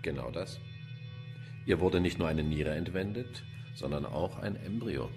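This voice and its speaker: A very low-pitched older male pathologist in a crime radio play, sardonic and pompous. He talks with dry, theatrical relish, and music plays behind him. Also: a little slow. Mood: sardonic, clinical. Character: sardonic, cynical, poetry-quoting male forensic pathologist. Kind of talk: German crime radio drama acting.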